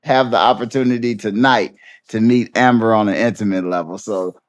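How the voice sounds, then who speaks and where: A man's voice: fairly low pitch, fairly even intonation, moderate pace. A man hosting a casual interview podcast, talking in a friendly, informal way. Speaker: podcast host.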